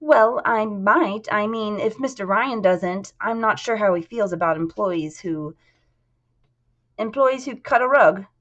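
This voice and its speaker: Lo-fi recording, woman playing demure young female secretary, shy, hesitant, raised pitch, audiobook character. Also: a little slow, a bit bright, lightly acted. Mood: shy, coy. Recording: dull lo-fi sound.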